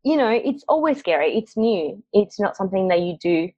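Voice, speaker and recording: A woman's voice: medium pitch, big pitch swings, normal speed, no echo. Young woman from mexico, chatty, laughs a lot, dry muffled call sound. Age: young adult. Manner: chatty, laughs often, many fillers. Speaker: guest, marketing agency founder. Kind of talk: casual interview chat. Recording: dry, dull lo-fi call audio.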